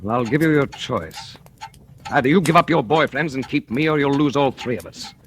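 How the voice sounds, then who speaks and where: An actor playing a bitter, jealous, broke husband in an old radio drama, cutting and menacing. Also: male voice, mid-range voice, moderate pace, fairly bright tone, natural voice. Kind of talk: 1940s radio acting. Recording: old radio broadcast with hiss.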